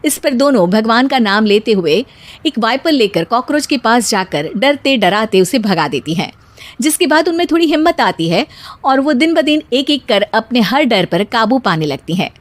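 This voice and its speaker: A woman's voice: a little high, big pitch swings, normal speed, no echo. A woman narrating a scripted cartoon storybook tale as its storyteller.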